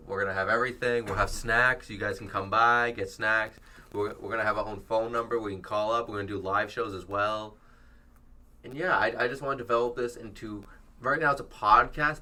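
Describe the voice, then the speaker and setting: A young man hosts a loose student podcast from a small college studio, rambling and joking along the way. His lowish voice sounds bright and forward, though a little worn from a cold. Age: young adult. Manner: rambling, jokey, quick laughs. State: losing his voice from illness. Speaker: podcast host. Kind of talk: casual student podcast chat.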